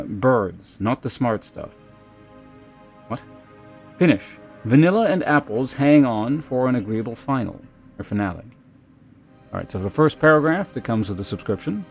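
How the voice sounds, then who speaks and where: A man hosting a livestream, chatting casually and reading aloud in a halting, measured way. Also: mid-range voice, darkish tone. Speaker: live streamer host. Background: music.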